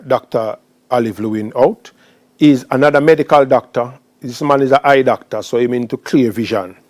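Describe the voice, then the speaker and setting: A man hosting a poetry festival, introducing guests in florid, rhetorical, deliberate phrases. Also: medium pitch. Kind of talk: spoken introductions at a literary event.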